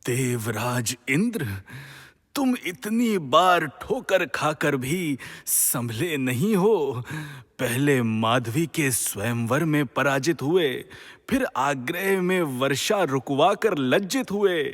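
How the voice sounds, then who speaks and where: A man's voice: on the high side, animated, takes it slowly, a bit bright, exaggerated voice. An actor playing a wise, stern male guru of the gods in a mythological audio drama, grave and admonishing. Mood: reproving. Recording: long hall-like reverb.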